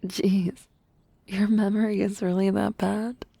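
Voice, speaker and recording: Woman playing shy but bold harpy classmate, asmr romance, soft whispery voice w some creak, halting n teasing, super close dry sound. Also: mid-range voice, level tone, dry recording. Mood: tender, teasing. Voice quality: soft, breathy, often whispering.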